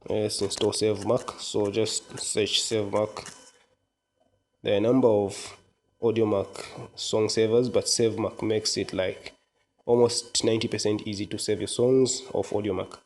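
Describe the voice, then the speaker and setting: A man with a fairly low voice presents a casual app walkthrough. He explains each step informally, like a presenter guiding viewers through a screen. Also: little pitch movement, normal speed, darkish tone. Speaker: tutorial presenter. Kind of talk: casual software walkthrough narration.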